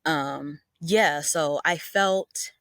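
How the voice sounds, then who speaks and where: A woman's voice: deep voice, drawn-out words, fairly bright tone, dry recording, studio quality. A young woman with a Southern accent, talking as a guest in a casual conversation, rambling with lots of fillers. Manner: rambling, lots of "like" and "you know".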